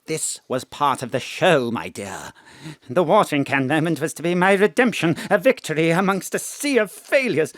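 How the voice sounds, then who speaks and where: A woman's voice: sits low, animated, moderate pace, no room sound, clean studio sound. A woman voicing a bitter, washed-up male actor who talks in a pompous, melodramatic way. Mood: self-pitying. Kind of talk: radio play acting.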